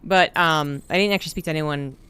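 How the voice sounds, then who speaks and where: A man's voice: on the high side, brisk, clear, resonant tone. A man streaming a game, chatting casually and rambling with lots of fillers. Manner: rambling, relaxed, many fillers. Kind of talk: casual gameplay chat.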